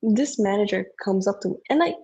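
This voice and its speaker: A woman's voice: medium pitch, expressive, a little fast, warm tone, breathy edge. A young woman who grew up speaking Indonesian, venting in English in a casual podcast chat, rambling and swearing with lots of filler words. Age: young adult. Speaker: podcast co-host.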